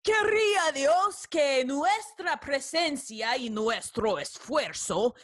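An actress playing a deferential, flattering courtier with an ingratiating, formal manner in a Shakespearean audio play. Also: female voice, sits high, slow pace. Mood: fawning. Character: deferential, flattering courtier and old school friend.

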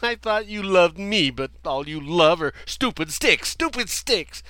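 A high, bright, whiny dog, put on by a man stammers and complains in frantic bursts. He sounds indignant and put-upon, every line a yelp of protest. The recording is dry and close. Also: animated, measured pace, no room sound, heavily acted. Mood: frantic whining. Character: panicky, indignant male dog in icy river.

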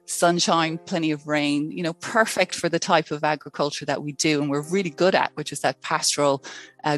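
Irish woman scientist, low voice, lots of ums, soft music behind. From rural Ireland. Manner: hesitant, many ums and restarts. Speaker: scientist guest. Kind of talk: interview answers.

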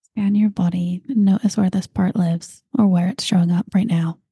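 Slow soft-spoken woman guiding meditation. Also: lowish voice, no echo, studio quality. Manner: slow, soft and calm, drifting into breathy whispers. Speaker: meditation guide. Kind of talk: guided meditation.

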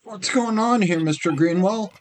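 A man playing a polite, folksy gate guard with a deliberate way of talking in an audio drama. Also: sits high, background noise, close to an everyday voice. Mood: concerned, astonished.

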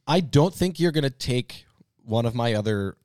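A man hosting a podcast in casual, joking banter, talking emphatically with drawn-out stresses. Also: medium pitch, animated, a little mellow, dry recording, clean studio sound. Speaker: podcast host. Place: podcast recording space.

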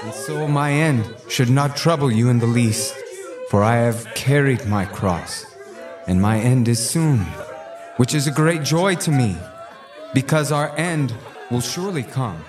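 A man's voice: mid-range voice, measured pace, close to an everyday voice. A man playing a serene, steadfast apostle in a religious audio drama, solemn and devout in manner. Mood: earnest. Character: serene male apostle, steadfast and pious, facing martyrdom. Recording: music playing under the voice.

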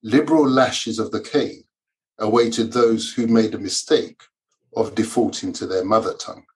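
A man, a Yoruba speaker, reading his own memoir aloud in a measured, formal way. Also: lowish voice, fairly even intonation. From Nigeria. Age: 50s. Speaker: author and lawyer.

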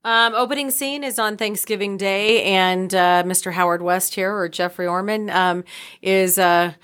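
A middle-aged woman speaks as a guest in a casual interview. Her voice sits at an average pitch, and she talks like a theater director explaining things in conversation. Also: fairly even intonation, normal speed, a bit bright, smooth.

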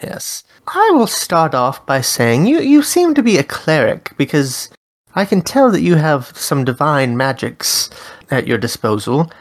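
A curious dream host, played by a woman with a low voice. She questions her guests in a friendly, measured way. Her pitch moves around a lot. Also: sing-song, heavily acted. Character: Rue, a dream-summoning interviewer. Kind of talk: tabletop character interview.

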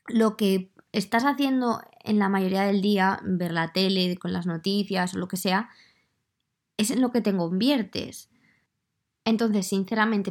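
Female podcast host, Madrid Spanish accent gone slightly soft, medium pitch; informal, chatty solo delivery addressed to the listener. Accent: Madrid Spanish, slightly softened. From Madrid, Spain. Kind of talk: casual solo podcast monologue.

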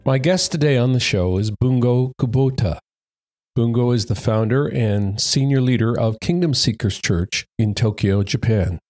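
A man's voice: medium pitch, moderate pace, darkish tone, faint music behind. A man hosting a faith podcast interview, asking questions and giving encouraging replies. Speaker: podcast host.

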